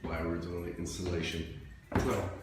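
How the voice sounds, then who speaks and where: A man with a fairly low voice narrates an informal walkthrough of an installation job. He talks haltingly, working out his thoughts as he goes. The sound is echoey, with loud ambient noise around him. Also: flat delivery. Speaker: security camera and intercom installer presenting a job. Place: walking around an apartment complex building.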